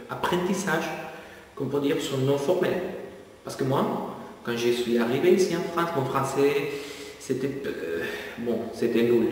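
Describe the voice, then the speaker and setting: Young man, documentary interview, hesitant, searching for words, distant mic, long hall reverb. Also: medium pitch. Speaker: international master's student. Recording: echoey hall, mic far away.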